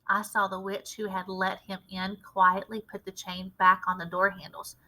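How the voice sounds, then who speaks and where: A woman reading a children's story aloud as an expressive storyteller. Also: mid-range voice, normal speed, rough recording. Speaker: read-aloud storyteller, teacher.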